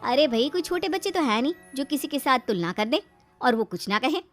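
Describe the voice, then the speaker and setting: Woman, narrator, high pitch, scripted comic storytelling, faint background music. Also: animated, a little fast, a bit bright.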